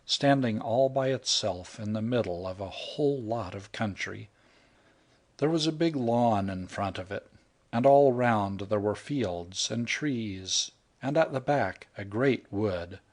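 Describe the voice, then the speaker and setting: A man narrating a humorous short story in the first person, wry and even. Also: on the low side. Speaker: audiobook narrator. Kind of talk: scripted narration.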